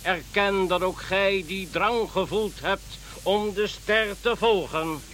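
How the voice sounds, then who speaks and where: A solemn pious king, high voice, really slow, preachy and formal, radio play with a bit of hiss. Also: fairly even intonation, fairly bright tone, low-quality recording. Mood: solemn exhortation. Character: solemn, devout king following a star. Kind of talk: Dutch radio play acting. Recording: faint background hiss.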